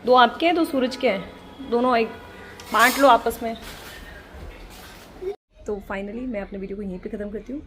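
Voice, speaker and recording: A woman's voice: a little high, moderate pace, pauses often, noisy background. A young woman talking to the camera in a casual vlog, telling a story earnestly with encouraging asides. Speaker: vlogger.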